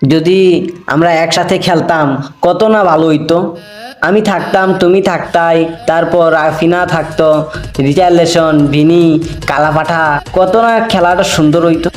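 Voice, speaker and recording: A man's voice: a little high, level tone, normal speed, roomy sound, faint music behind, close to an everyday voice. A man voicing an aggrieved adult male cartoon footballer who complains in a plaintive way, speaking Bengali with a Sylheti accent. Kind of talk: cartoon voice acting.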